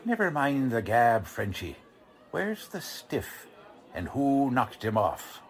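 An actor playing a gruff, bossy police lieutenant with tough-guy slang and brusque wisecracks, in 1940s radio drama style. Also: mid-range voice, big pitch swings, slow, some chatter behind. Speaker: police detective character.

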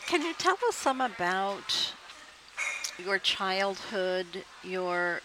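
A woman interviewer asking slow, drawn-out questions. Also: mid-range voice, expressive, noisy background.

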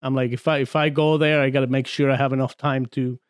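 Smooth-voiced man from Argentina. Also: mid-range voice, fast, no echo, studio quality. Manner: relaxed storytelling with many fillers and restarts. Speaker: podcast guest. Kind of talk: casual chat. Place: small podcast studio.

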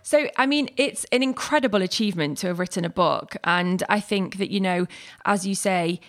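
A woman's voice: mid-range voice, moderate pace, fairly bright tone. A woman hosting an interview podcast, asking questions in an encouraging, chatty way with many fillers. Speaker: podcast host. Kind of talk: conversational interview.

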